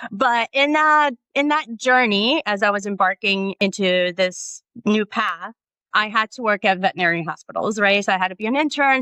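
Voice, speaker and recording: A woman's voice: mid-range voice, bright, forceful tone, clean tone, no room sound, poor audio. A woman from Brazil, a guest in an interview, speaking earnestly and reflectively, often adding "right?" and "you know.". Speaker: guest, nonprofit founder. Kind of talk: conversational interview.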